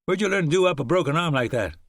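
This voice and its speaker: A man doing a crisp, tough frontiersman. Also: a little high, animated, very fast, fairly bright tone, dry recording, clean studio sound, voice pushed up. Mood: blunt.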